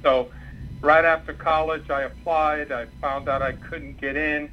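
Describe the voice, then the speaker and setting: Older Cuban-born man, native Spanish speaker, rambling phone guest, slightly high voice. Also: little pitch movement, measured pace, slight background noise. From Cuba. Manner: rambling, many fillers and restarts. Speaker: former undercover FBI agent, guest. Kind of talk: interview, recounting career. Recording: muffled phone line.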